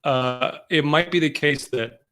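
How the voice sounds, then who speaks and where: A man hosting a casual roleplaying-game discussion over a video call, talking through a stuffy, sniffly cold with quick examples. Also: a little high, a bit bright. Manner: chatty, explains with quick examples. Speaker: host.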